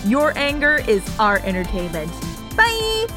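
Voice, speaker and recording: Animated, higher-pitched woman over music. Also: unhurried. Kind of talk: credits thank-you message.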